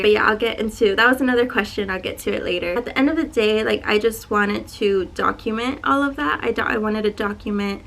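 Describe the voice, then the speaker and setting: Twenties woman, quiet and shy, casual vlog chat to camera, medium pitch. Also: poor audio. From Dallas, Texas. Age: 20s. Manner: soft-spoken, self-described shy and quiet. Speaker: YouTuber. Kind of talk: casual get-to-know-me Q&A.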